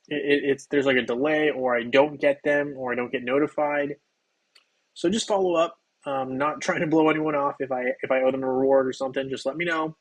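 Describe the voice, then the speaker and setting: A slightly hoarse man hosting a game livestream, chatting loosely with viewers while he plays, with plenty of ums and restarts. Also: mid-range voice, poor audio. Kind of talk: casual livestream chat while playing.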